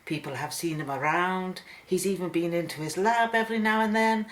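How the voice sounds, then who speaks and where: A woman's voice: lowish voice, a little fast, natural voice. A woman playing an urgent, determined woman scientist in a radio play, grave and wary. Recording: roomy, echoing studio sound.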